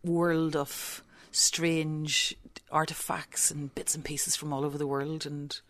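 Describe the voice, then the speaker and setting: A woman's voice: deep voice, little pitch movement, takes it slowly, a bit bright. A woman with a Belfast accent, talking conversationally about her memories as an interview guest. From Belfast, Northern Ireland. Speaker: interview guest, visual artist. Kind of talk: conversational reminiscence.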